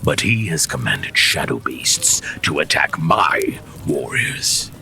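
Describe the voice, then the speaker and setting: An actor playing an arrogant, resentful male fire-primal warlord in a fantasy audio drama, sneering and boastful, with a gravelly voice. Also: extremely low pitch, sing-song, slow pace, sharp tone, heavily acted. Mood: cold fury.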